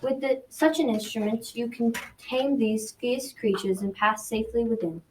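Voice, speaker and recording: A woman's voice: on the high side, normal speed, darkish tone, natural voice. A young girl voicing a solemn, self-sacrificing animal helper in a school radio drama, earnest and recited. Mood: solemn, instructing. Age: child around 12 to 14. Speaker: student actor. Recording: noisy amateur recording, distant mic.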